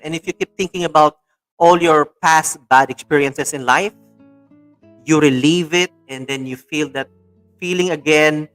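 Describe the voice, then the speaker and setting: Guy hosting a live talk show, keeps repeating himself, um and uh a lot, kinda high voice. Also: fairly bright tone. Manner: enthusiastic, repeats words, many fillers. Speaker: show host.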